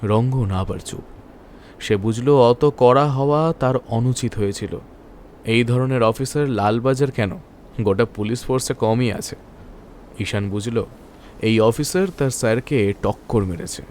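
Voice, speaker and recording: A man's voice: medium pitch, measured pace, a little mellow. A man tells a scripted crime story as narrator. His voice sits in the middle range, with a dramatic storytelling delivery. Slight noise is heard behind him.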